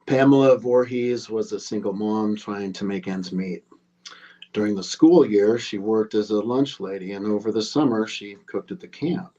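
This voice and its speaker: A man's voice: on the low side, normal speed, warm tone, dull audio, natural voice. A man playing a young camp counselor casually telling a murder legend in a live horror script read. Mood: casual, eerie. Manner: casual, teasing storyteller.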